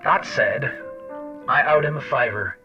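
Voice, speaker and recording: A man's voice: medium pitch, a little slow, far from the mic, natural voice. A man playing a wry, foul-mouthed intelligence agent, telling his story in a sardonic, conversational way in an audio drama. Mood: wry, confiding. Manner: sardonic, conversational, addresses the listener directly. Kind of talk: first-person audio drama narration and dialogue. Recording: dull lo-fi old-radio sound under music.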